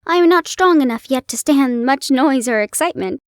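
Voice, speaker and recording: A woman voicing a weary male army doctor who speaks in a measured, polite and earnest way. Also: high-pitched, expressive, dry recording, studio quality.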